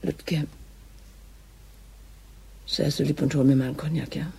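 An actress playing a headstrong female police inspector in a radio drama, with a husky voice and a defiant, emotional manner. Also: low-pitched, flat delivery, unhurried, dark tone, exaggerated voice. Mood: wary, shaken. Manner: defiant, emotional, natural dialogue.

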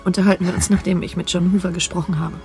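Woman acting sharp-tongued lady investigator in a radio play, talks fast, dry and sceptical, music underneath. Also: fairly low pitch, flat delivery, natural voice. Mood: probing, skeptical. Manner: probing, dry, sardonic. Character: skeptical, persistent female investigator, sharp-tongued, guarded.

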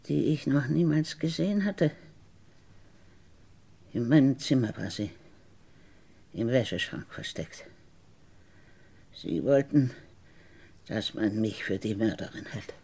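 An actress playing a bitter, wronged convicted woman in a radio crime drama, deliberate and insinuating, with a husky low voice. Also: dark tone, full character voice. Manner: deliberate, halting, insinuating, dropping into whispers. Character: bitter, wronged woman once convicted of murdering her sister.

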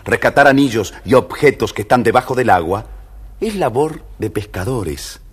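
A man's voice: mid-range voice, lively intonation, normal speed, husky. A man narrating a scripted children's fairy tale as storyteller, theatrical, firm and explanatory.

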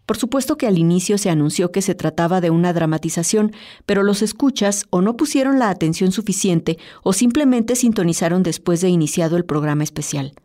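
Mellow, lowish-voiced woman presenter. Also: expressive, fairly quick. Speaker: radio presenter. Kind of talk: scripted personal radio essay narration. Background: music.

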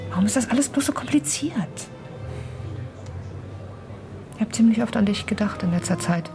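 An actress plays an ambitious young woman facing an old lover, guarded and cool. Her delivery is naturalistic radio drama, a little defensive. Music sits clearly under the voice. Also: medium pitch, expressive, moderate pace, warm tone, close to an everyday voice. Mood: wistful, hurt.

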